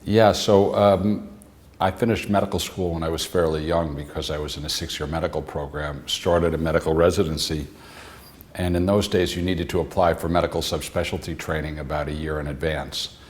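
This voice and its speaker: A man with an extremely deep voice speaks calmly and plainly, in a very flat, even tone. He sounds steady and unhurried in an interview. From New York City suburbs. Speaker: interviewee, physician-scientist. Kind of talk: reflective interview answers.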